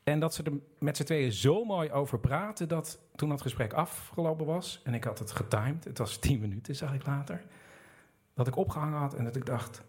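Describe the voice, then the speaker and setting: A man's voice: a little high, fairly quick, a little mellow, breathy edge. A man hosting a storytelling show, narrating in a personal way.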